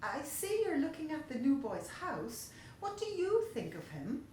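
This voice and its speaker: An earnest young storybook boy, acted by a woman, polite, curious and a bit nervous. The voice is high and lively, with a strong room echo around it. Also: a little slow, exaggerated voice. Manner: earnest, hesitant, blurting. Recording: very echoey room, faint noise.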